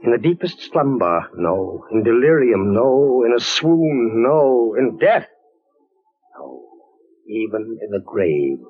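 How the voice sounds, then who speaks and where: An actor playing a fevered, frightened soldier imprisoned by the Inquisition, halting and theatrical in old radio horror style. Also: male voice, mid-range voice, big pitch swings, slightly rough, heavily acted. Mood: haunted, dramatic. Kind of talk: 1940s radio horror acting. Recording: dull vintage radio drama recording.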